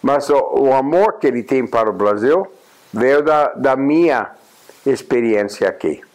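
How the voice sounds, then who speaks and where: Older American man speaking slowly. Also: mid-range voice, lively intonation, low-quality recording. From United States. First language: English. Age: 80s. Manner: unhurried, pausing often with "ah" and restarts. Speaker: interviewee, journalist and honorary consul. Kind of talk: reflective interview talk. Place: indoors, plain room.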